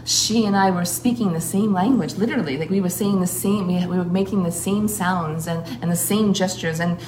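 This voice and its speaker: A woman who works as a shamanic priestess, talking earnestly in a flowing way and pausing often to find her words. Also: on the low side. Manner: earnest, flowing, with frequent filler pauses. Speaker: shamanic priestess and guest speaker. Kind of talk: casual spoken account. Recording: roomy recording with clear background noise.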